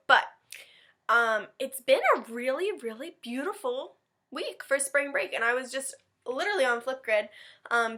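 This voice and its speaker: A woman's voice: a little high, bright, forceful tone. A woman teacher chatting informally and animatedly to young children during a read-aloud.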